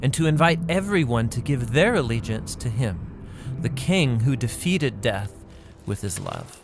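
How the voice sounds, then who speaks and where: A man's voice: medium pitch, wildly swooping pitch, unhurried. A man co-hosting an educational video, giving scripted but conversational explanations with emphatic phrasing. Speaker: explainer, co-host of an educational Bible video series. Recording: music bed behind the voice. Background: clear background music.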